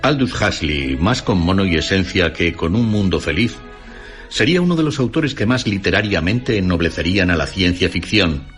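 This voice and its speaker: A man with a Castilian Spanish accent reading a scripted literary radio essay in a formal, measured way. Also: sits low, a bit bright. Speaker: radio documentary narrator. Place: radio studio. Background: faint music.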